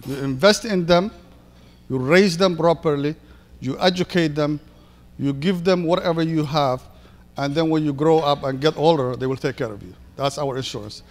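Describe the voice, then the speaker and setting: A man in his thirties from Somalia with a Somali accent, giving a halting speech with many fillers at a ceremony, speaking into a handheld mic. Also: fairly high pitch. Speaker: board member giving a speech. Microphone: handheld microphone. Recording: echoey hall reverb.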